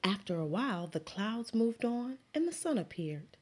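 A woman, a teacher, reading a children's picture book aloud with slow, expressive storytelling. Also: medium pitch, husky. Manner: slow, expressive storytelling with sound words and character voices.

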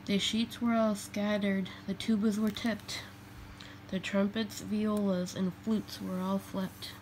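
A woman with a medium-pitched voice reads a children's story aloud. She goes slowly, phrase by phrase, on a home recording with a little background noise. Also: little pitch movement, a little mellow, low-quality recording. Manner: deliberate, phrase by phrase. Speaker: storybook reader. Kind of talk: children's picture book read-aloud.

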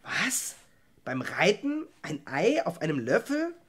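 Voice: medium pitch, huge pitch swings, takes it slowly, breathy edge, exaggerated voice. A performer playing a good-humoured, sceptical teenage boy in a children's audio drama, questioning and easygoing. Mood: sceptical, amused.

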